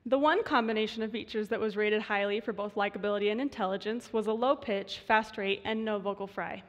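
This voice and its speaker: A woman in her twenties with vocal fry, a student voice researcher giving a prepared stage talk in measured tones. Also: medium pitch, steady tone, highs cut off. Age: 20s. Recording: reverberant hall.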